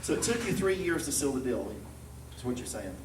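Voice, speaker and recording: Male host, playful and joking, mid pitch, miked from a distance. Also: very animated, fairly quick. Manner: joking, teasing. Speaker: interview host. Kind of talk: interview conversation. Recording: roomy, distant mic, ambient noise.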